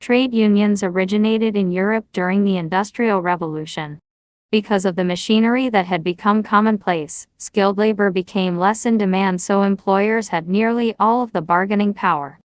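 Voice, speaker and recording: A woman narrates a prepared passage as read-aloud practice. Her pitch is average for a woman, and the recording is dry, free of any echo. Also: normal speed. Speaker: reader of exam practice passages.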